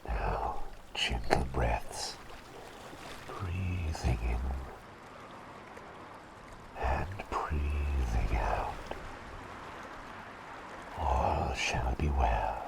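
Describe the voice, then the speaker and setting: A man voicing a kindly, silly talking bird doctor in a whispered ASMR roleplay, speaking hesitantly and politely and softly repeating his phrases. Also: animated, extremely slow, long pauses, very noisy, heavily acted. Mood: soothing. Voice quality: breathy whisper with creaky low voiced moments.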